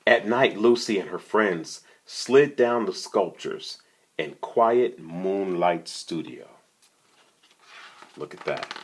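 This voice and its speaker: A low-pitched man reads a children's storybook at an unhurried pace, his voice rough-edged and husky. He speaks conversationally, slipping between the story and casual questions for his young listeners. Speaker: storytime reader. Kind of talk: reading a picture book aloud, with casual asides to young listeners.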